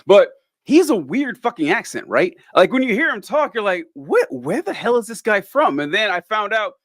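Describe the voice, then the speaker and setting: Rambling male podcast host, fairly high voice. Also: sing-song, normal speed, no room sound, studio quality. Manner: rambling, many fillers, swears casually. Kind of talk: casual chat.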